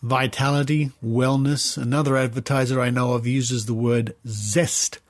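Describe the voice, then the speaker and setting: An Australian man hosting a podcast, reading aloud in a measured, explanatory way with conversational asides. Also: medium pitch, steady tone. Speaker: podcast host.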